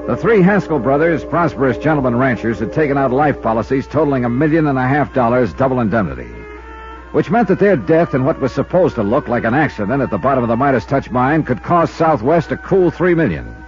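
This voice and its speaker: A man's voice: medium pitch, animated, fairly quick, lightly acted. An American actor playing a wry, self-assured insurance investigator in a 1950s radio detective drama, narrating and talking through his cases. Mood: brisk, businesslike. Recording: dull 1950s radio recording, loud music.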